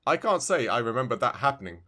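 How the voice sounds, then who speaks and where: A man's voice: mid-range voice, very animated, fast, pushed and bright. Rambling man in casual podcast chat. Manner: rambling, repeats and restarts phrases. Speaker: podcast co-host. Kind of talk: casual video game review chat.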